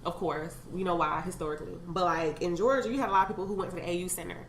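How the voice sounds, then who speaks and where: A woman's voice: lowish voice, fairly even intonation, rapid-fire. A young adult woman hosting a podcast, speaking as a candid, chatty podcast host who swears freely. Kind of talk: casual chat. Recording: echoey room, faint ambient noise.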